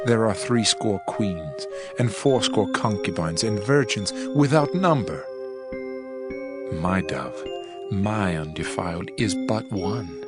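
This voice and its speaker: A man's voice: fairly low pitch, animated, slow. A man playing a tender, adoring bridegroom in a dramatized scripture reading, solemn and poetic, with music behind him. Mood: solemn adoration. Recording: loud music under the voice.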